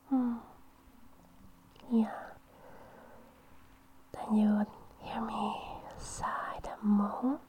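Woman, breathy intimate whisper, teasing girlfriend role, unhurried and level delivery. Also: a little high, low-quality recording. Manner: soft, teasing, affectionate. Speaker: girlfriend in audio roleplay. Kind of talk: whispered intimate audio roleplay.

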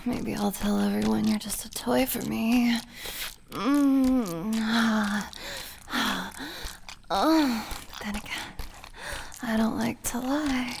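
Woman acting a slow, breathy, possessive elven princess. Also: a little high, a little hiss or hum. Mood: teasing, breathy.

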